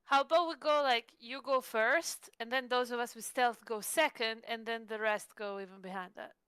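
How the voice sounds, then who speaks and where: A woman's voice: on the high side, moderate pace, a bit bright, clean tone, dry recording. A woman chatting casually as a player in a tabletop roleplaying game stream. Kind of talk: casual in-character game chat.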